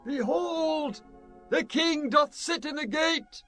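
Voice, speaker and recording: A man playing an excited herald of the common people, proclaiming in a scripture audio drama. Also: very high-pitched, slow pace, soft background music, full character voice.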